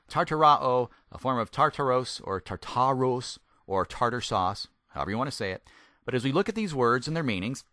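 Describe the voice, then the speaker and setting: Bright-voiced man teaching the Bible. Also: lowish voice, no echo, studio quality. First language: English. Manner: rhetorical questions to the listener, deliberate emphasis. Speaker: Bible teacher, former pastor. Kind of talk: conversational sermon, Bible teaching with scripture reading.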